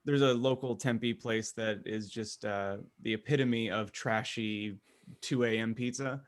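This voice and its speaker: A man's voice: fairly low pitch, steady tone, measured pace. A man hosting a casual podcast conversation with wry, teasing banter. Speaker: podcast host.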